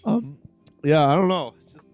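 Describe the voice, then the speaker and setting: A man's voice: a little high, expressive, normal speed, vocal fry, a little mellow, no echo, faint music behind. A man co-hosting a casual chat, rambling through explanations with plenty of filler words. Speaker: podcast co-host.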